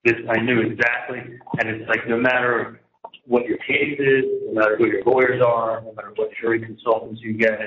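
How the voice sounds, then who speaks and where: An exasperated man talks at length on a casual phone call, circling back and repeating himself. The recording is roomy and muffled, with faint noise behind the voice. His pitch sits in the middle. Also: level tone, fairly quick. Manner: exasperated, repetitive, recounting at length. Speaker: prison inmate on a phone call. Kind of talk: casual phone conversation, venting. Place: prison, on a recorded phone line. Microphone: telephone handset.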